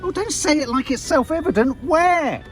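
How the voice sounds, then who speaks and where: A man's voice: extremely high pitch, expressive, moderate pace. A middle-aged English-accented man in casual vlog banter, teasing and easily exasperated, with frequent swearing. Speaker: family vlogger. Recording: music behind the voice.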